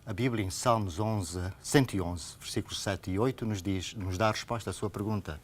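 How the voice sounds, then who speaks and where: A man's voice: lowish voice, a little fast. A man from Angola with an Angolan Portuguese accent, a pastor teaching about the Bible in conversation, earnest and didactic with emphatic repetition. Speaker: pastor, programme guest.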